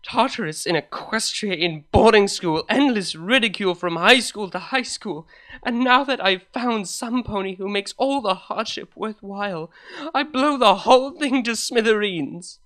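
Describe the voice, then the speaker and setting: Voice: medium pitch, normal speed, a bit bright, voice pushed up, natural voice. A reader voicing an anxious, heartfelt female pony, speaking formally and earnestly in a dramatic fan fiction reading. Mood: distraught, exasperated. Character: Octavia, anxious, heartfelt, well-spoken adult female pony.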